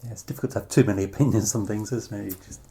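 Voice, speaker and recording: An interviewer asking casual questions in a tentative, gently probing way. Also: mid-range voice, steady tone, very fast.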